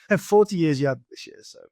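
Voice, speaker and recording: A man's voice: a little high, moderate pace, a little mellow. A Welsh man in his forties, a poker pro guest in a casual podcast interview, talking loosely with many fillers and restarts. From Wales. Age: 40s. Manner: rambling, many fillers and restarts, laughs often.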